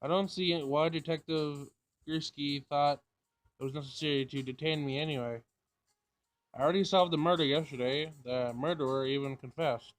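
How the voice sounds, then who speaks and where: Man reading as a sardonic young detective, higher-pitched. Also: steady tone, moderate pace, faint music behind, lightly acted, rough recording. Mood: annoyed. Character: sardonic young male amateur detective, teasing big brother. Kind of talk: reading visual novel dialogue aloud.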